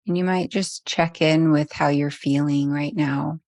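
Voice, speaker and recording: A woman leading a guided meditation as a teacher, speaking gently and soothingly with long, unhurried pauses. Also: sits low, steady tone, no echo.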